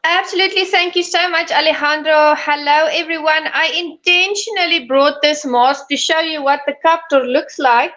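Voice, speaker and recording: A South African woman whose first language is Afrikaans, presenting in a friendly, measured way on a video call. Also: high voice, bright, forceful tone. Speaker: guest co-presenter. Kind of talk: explanatory talk. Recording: dull lo-fi video call audio.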